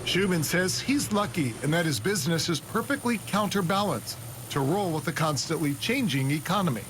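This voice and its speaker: A man's voice: fairly high pitch, lively intonation, moderate pace, fairly bright tone, a little hiss or hum. A man reporting a business feature for television in a polished, scripted news delivery.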